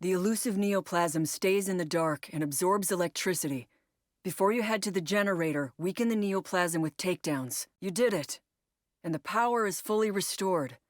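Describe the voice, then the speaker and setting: A woman's voice: fairly low pitch, normal speed, no room sound, studio quality, close to an everyday voice. An actress playing a female military operative in a video game, briefing her squad with clipped, instructive delivery. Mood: focused, commanding. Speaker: mission briefer and incursion leader.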